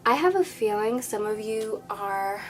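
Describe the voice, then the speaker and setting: A woman with a slightly nasal, congested voice giving a spoken tarot reading to her viewers, earnest and soothing, often dropping into a hushed whisper. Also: mid-range voice, lively intonation, unhurried, rough recording. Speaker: tarot reader. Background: background music.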